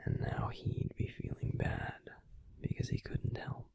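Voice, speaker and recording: A man playing a grumpy but tender werewolf boyfriend in an intimate sleep-aid audio roleplay, confiding casually in a breathy, husky, unhurried whisper, close to the microphone. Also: dark tone, natural voice. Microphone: close microphone, dry.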